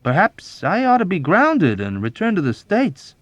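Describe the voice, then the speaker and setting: A man voicing a sly, timid wounded airman in an audiobook, deferential but quick to protest. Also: mid-range voice, sing-song, dry recording, pitched above the performer's own voice, natural voice. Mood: sly deference. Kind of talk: audiobook character acting.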